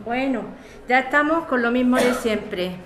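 A woman's voice: on the high side, expressive, a little slow, background noise. A woman acting an eager amateur sleuth in a radio soap. She speaks with an accent from Spain, friendly but insistent and concerned, in natural everyday dialogue. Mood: friendly teasing. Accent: Spanish.

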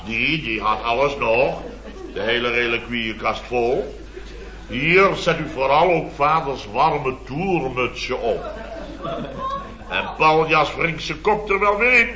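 A man's voice: medium pitch, expressive, slow, crowd noise. A Dutch man acting a blustering, self-important building-firm boss in a radio comedy, rambling indignantly. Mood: exasperated, amused. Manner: indignant, rambling storytelling with tag words. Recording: dull, echoey vintage radio recording with audience.

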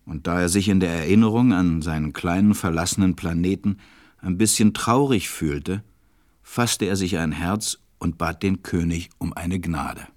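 An actor serving as the narrator of a radio play, reading a children's story in a measured, scripted way. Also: male voice, low-pitched, darkish tone, close to an everyday voice. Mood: measured narration.

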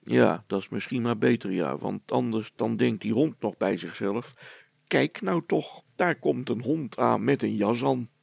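A man's voice: medium pitch, unhurried, creaky, a little mellow, husky, no room sound, exaggerated voice. A man voicing a wry, sceptical father who teases with mock politeness, in a storybook reading. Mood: dry teasing.

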